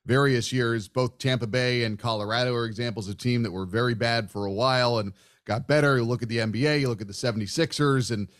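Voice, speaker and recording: Man, mid-pitched voice, sports podcast host, casual, chatty, discursive. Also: steady tone, quick pace, a bit bright. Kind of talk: casual sports interview talk.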